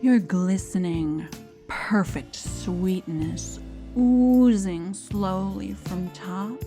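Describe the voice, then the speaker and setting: A woman's voice: on the low side, dark tone. A woman playing a lovesick pastry craver in a sultry parody advert, lingering over and repeating words. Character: lovesick woman swooning over sticky cinnamon buns.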